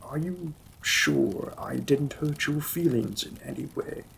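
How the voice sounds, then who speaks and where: A man playing a possessive demon king and devoted lover in a romantic fantasy audio roleplay, often dropping his breathy voice to a whisper. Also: fairly high pitch, expressive, takes it slowly, fairly bright tone, a little hiss or hum, exaggerated voice, poor audio. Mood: tender, concerned.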